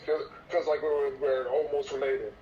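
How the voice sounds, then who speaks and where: A man from New York practicing a sales call as a real estate agent, polite and a bit halting. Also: mid-range voice, steady tone, a crowd in the background. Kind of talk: role-play sales call. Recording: dull muffled sound with faint noise.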